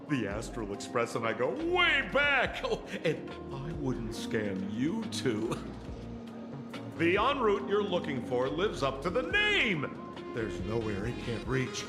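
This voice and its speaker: A man playing an excitable old tavern informant in a video game, teasing and theatrical as he spins his stories. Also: a little high, heavily acted. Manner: theatrical, teasing storyteller with dramatic exclamations. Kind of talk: animated video game acting. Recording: echoey, reverberant, over music.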